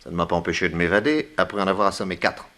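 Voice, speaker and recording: An actor playing a bold, wry detective with a brisk commanding manner in a 1960s French radio serial. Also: male voice, deep voice, fairly even intonation, fairly bright tone. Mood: confident, scheming.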